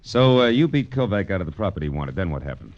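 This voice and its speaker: An American actor playing a hard-boiled, wry private detective in 1940s radio crime drama, terse and deadpan. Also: male voice, low voice, fast talker, no room sound. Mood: cool, probing. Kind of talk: 1940s radio crime drama acting with first-person narration. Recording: muffled vintage radio recording, music underneath.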